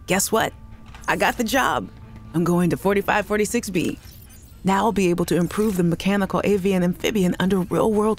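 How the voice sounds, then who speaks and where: A woman's voice: fairly low pitch, close to an everyday voice. A woman voicing an upbeat young woman recording chatty, giggly audio messages to her little sister in a game. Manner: cheerful, chatty, giggly, affectionate. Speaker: game character in audio logs. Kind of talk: voiced game audio log.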